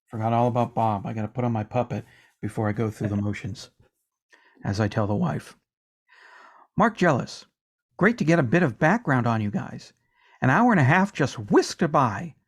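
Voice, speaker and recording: A man co-hosting a casual music podcast, joking around and reading out listener comments. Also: mid-range voice. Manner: jokey, reads listener comments aloud. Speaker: podcast co-host.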